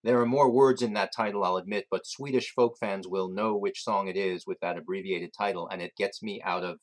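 A man with a fairly low voice hosts the show. He introduces segments in a light, self-deprecating way. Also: fairly even intonation, brisk, rough recording. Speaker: host. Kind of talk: radio-style show hosting. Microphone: remote recording outside the studio.

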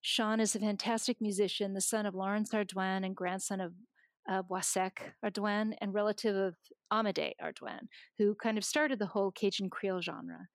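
A woman's voice: medium pitch, fairly even intonation, normal speed, dry recording, studio quality. A woman guest on a talk show, talking casually and with enthusiasm, with some restarts. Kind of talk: casual chat. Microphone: remote recording outside the studio.

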